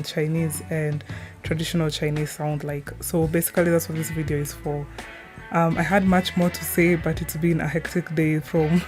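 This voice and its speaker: A woman's voice: low-pitched, a little mellow. A woman giving a casual, rambling introduction in an informal, somewhat scattered and hesitant way. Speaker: video creator.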